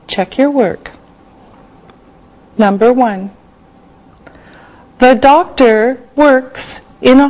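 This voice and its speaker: An older woman from Canada teaching English on a studio set with a lapel mic, dictating words slowly and clearly. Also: fairly high pitch, darkish tone, rough recording. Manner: slow, clearly enunciated dictation. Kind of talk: slow scripted classroom teaching.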